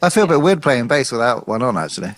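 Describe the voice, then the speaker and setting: A man who plays bass, co-hosting a band podcast in a relaxed way and laughing often. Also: quick pace. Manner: laid-back, laughs often. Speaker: podcast co-host, bass player.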